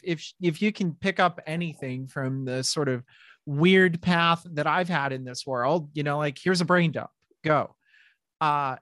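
Podcast host: middle-aged woman, lowish voice, animated and rambling, dry close mic. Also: no room sound. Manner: animated, laughing, rambling with restarts. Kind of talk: casual podcast chat.

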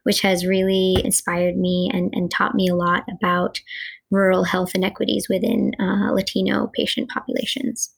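A woman's voice: medium pitch, flat delivery, moderate pace, vocal fry, slightly rough, rough recording. A twenty-year-old American woman from North Carolina, a student giving a personal account as an interview guest. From North Carolina, USA.

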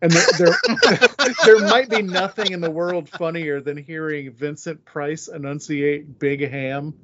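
A man's voice: a little high, fairly even intonation, measured pace, sharp tone, dull audio. A man hosting a casual discussion podcast, conversational and wry as he moderates. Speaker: podcast host.

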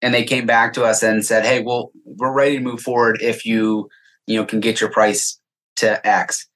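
A man's voice: on the low side, little pitch movement, moderate pace, a bit bright, rough recording. A man speaking as an interview guest, casual and anecdotal, voicing quoted remarks as he explains. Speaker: podcast guest, software sales executive.

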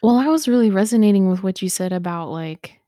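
A young woman from Ethiopia co-hosting a casual podcast, speaking haltingly with lots of fillers while she searches for words. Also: medium pitch, lively intonation, mellow, no room sound, clean studio sound. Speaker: podcast co-host. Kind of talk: casual conversation.

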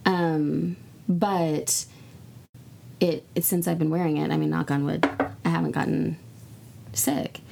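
A hoarse woman co-hosting a podcast, chatting casually and swearing now and then. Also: sits low, little pitch movement, a little slow, pauses often, background noise. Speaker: podcast co-host. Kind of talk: casual chat. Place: recording in Texas.

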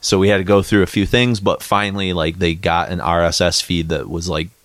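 A man's voice: low voice, steady tone, brisk, a bit bright. A man co-hosting a podcast, talking casually and rambling with lots of filler words. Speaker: podcast co-host. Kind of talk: casual chat. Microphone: studio podcast mic.

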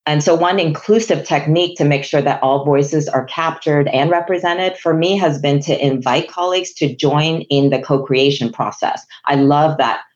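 A woman from Hong Kong whose first language is Chinese, a guest answering interview questions in a measured, businesslike way. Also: low voice, darkish tone, lo-fi sound. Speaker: guest, HR executive.

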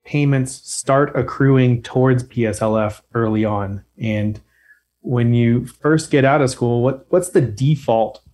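Male guest, medium pitch, talkative and easygoing, explains with light humor. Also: unhurried, a little mellow. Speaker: guest expert on loans. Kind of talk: casual podcast chat.

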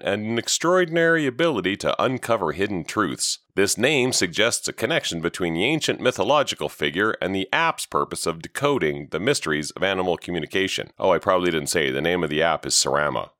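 A man's voice: fairly low pitch, fairly bright tone, dry recording, clean studio sound. A man hosting a solo podcast while recovering from a cold, delivering sardonic, ranting commentary. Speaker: podcast host.

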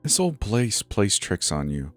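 A man voicing a calm, reassuring female camp manager in a horror story, speaking softly. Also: medium pitch, moderate pace, dry recording, soft background music, pitched above the performer's own voice. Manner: soft, reassuring. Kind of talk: dramatized horror story narration.

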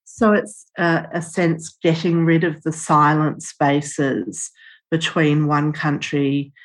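A woman's voice: deep voice, little pitch movement, slow. A woman interviewing a poet, giving appreciative comments and asking thoughtful questions about translation. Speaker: interviewer host.

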